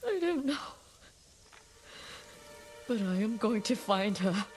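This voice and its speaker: A woman's voice: mid-range voice, sing-song, slow pace, faint music behind. An actress playing a fierce, grieving warrior noblewoman bent on vengeance in a dramatic video game. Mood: shaken, vengeful.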